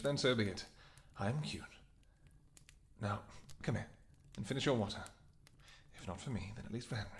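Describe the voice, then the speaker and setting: A man's voice: fairly low pitch, slight background noise. A man playing a devoted royal vampire king in an audio roleplay, tender with his wife and commanding with his soldiers.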